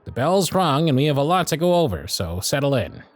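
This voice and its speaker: A man playing a gruff but fond elf workshop supervisor in an ASMR roleplay, with a bossy, teasing, sardonic way of talking. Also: on the high side, sing-song, a little fast, dry recording. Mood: stern, sardonic.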